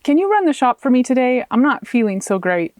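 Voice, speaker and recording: A woman's voice: high-pitched, sing-song, a little fast, darkish tone, no echo, studio quality. A woman voicing a wry, self-deprecating young female shopkeeper in an audiobook, a little evasive in manner.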